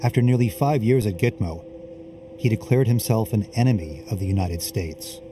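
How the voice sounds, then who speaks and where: Low-voiced male documentary narrator. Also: noisy background. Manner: measured, even reporting. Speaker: reporter. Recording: voice-over under music.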